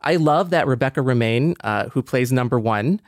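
A man with a mid-pitched voice co-hosts a podcast in an earnest, conversational way. He builds points by repeating phrases, and the recording is dry. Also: expressive, moderate pace, no room sound. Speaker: podcast co-host.

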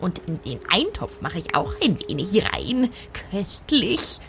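A woman playing a dwarf aunt who loves cooking and adventure, speaking in a deliberate, nonchalant way in an improvised audio drama. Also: mid-range voice, sing-song, gravelly, noisy background. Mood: nonchalant, pleased.

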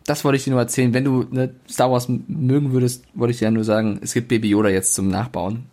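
A man's voice: medium pitch, little pitch movement, fast, dark tone. A man co-hosting a podcast in a casual, conversational style. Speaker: podcast co-host.

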